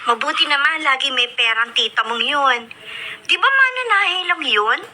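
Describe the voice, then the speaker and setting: A woman's voice: high-pitched, lively intonation, normal speed. A young woman playing a perky, teasing cousin and confidante in a Filipino radio drama, speaking expressively. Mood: curious. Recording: noisy radio drama recording.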